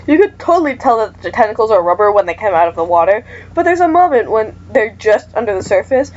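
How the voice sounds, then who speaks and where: A woman reading the lines of a chatty teenage boy who loves horror movies, rambling excitedly. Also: a little high, highs cut off.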